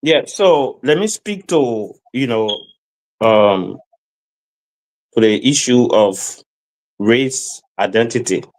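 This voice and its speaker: A man's voice: medium pitch, lively intonation, takes it slowly, long pauses, slight background noise, low-quality recording. A man speaking as a guest in a discussion, emphatic and lecturing, who repeats phrases and stammers as he builds his point. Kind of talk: lecturing opinion.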